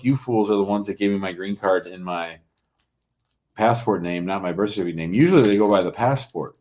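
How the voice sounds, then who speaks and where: A man with a low-pitched voice hosts a call-in advice show. He speaks conversationally and informally, probing for detail and telling callers bluntly where they stand. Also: darkish tone. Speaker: host taking callers' immigration questions.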